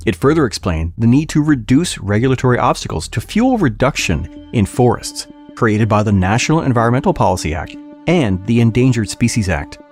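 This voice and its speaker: Expressive male narrator, music underneath. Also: medium pitch. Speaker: narrator and podcast reader. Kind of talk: scripted audiobook-style reading of a policy document.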